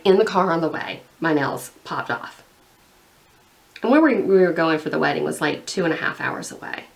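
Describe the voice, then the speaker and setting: A woman's voice: deep voice, creaky. A young woman chatting casually while painting her nails, rambling and full of "like". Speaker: beauty vlogger.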